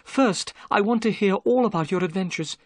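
A man voicing a gentle, kind young woman in a raised, soft voice. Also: high voice, normal speed, dry recording, studio quality, voice pushed up. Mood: eager.

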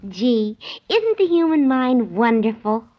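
An actress playing a naive, chirpy secretary as comic relief in a 1940s radio show. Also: female voice, fairly high pitch, big pitch swings, slow. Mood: naive, chatty. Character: naive, chirpy, scatterbrained female secretary Susie. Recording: dull 1940s radio recording.

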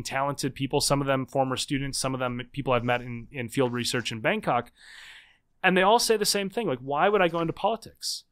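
A man in his thirties, a guest author in a conversational interview, explaining in quick, animated bursts with frequent restarts. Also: mid-range voice, smooth. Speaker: guest, political scientist and author.

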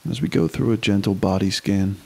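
A man leading a guided meditation, giving slow, unhurried instructions with long pauses. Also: fairly low pitch, vocal fry, dark tone, breathy edge.

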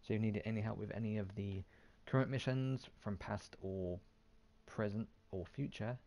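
A man's voice: lowish voice, steady tone, moderate pace, gravelly, darkish tone. A man giving casual, offhand gameplay commentary, muttering and mildly swearing to himself. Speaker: gaming YouTuber.

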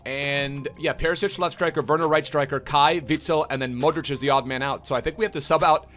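Young guy rattling off game stats fast, chatty, lots of uhs, slightly worn voice, small room, soft music behind. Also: a little high, fairly even intonation. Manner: chatty, upbeat, many fillers and restarts. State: voice slightly rough from late streaming. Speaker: gaming YouTuber. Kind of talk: casual game review commentary. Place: small indoor room, gaming setup.

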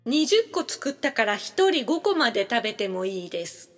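Very high-voiced woman reading lesson sentences. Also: big pitch swings, normal speed, in a large hall, soft background music. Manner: clearly phrased, instructional. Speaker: language-lesson reader. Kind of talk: scripted audio-drama sentences for learners.

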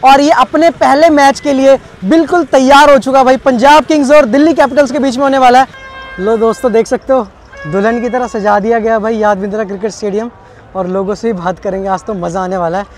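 Very high-pitched young man vlogging. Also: a little fast, faint music behind. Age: young adult. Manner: animated, chatty, projecting over a crowd. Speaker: vlogger interviewing cricket fans. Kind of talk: casual street vlog commentary and vox-pop interviews. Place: outdoors by a road outside a cricket stadium. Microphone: clip-on wireless mic, second one handheld. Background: faint crowd and street sound.

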